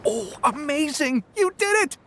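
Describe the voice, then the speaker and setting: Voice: high voice, sing-song, unhurried, a bit bright, a little hiss or hum, full character voice. An actor voicing a careless, nervous little forest animal in a video game, stammering and eager. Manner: stammering, flustered. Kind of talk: video game cutscene acting.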